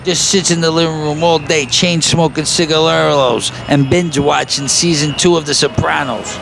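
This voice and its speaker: An actor playing a blunt, wisecracking New construction worker in an audio play, with a sardonic, needling delivery. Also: male voice, fairly high pitch, fairly even intonation, moderate pace, creaky. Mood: weary, sour. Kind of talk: naturalistic audio play dialogue. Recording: noisy city street with traffic. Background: urban street ambience, vehicles.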